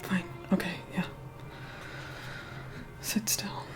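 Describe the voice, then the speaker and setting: A woman plays an immortal vampire woman in an ASMR role-play, speaking mostly in soft whispers. She is haughty and impatient, giving curt, condescending orders as a reluctant helper. Loud music plays behind her voice. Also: drawn-out words. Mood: grudging, critical.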